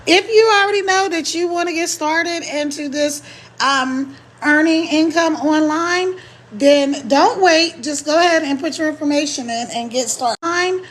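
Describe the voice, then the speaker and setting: A middle-aged woman, a little hoarse, chatting casually on a live stream as an online marketing coach, emphatic and repeating her key points. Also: high-pitched, unhurried.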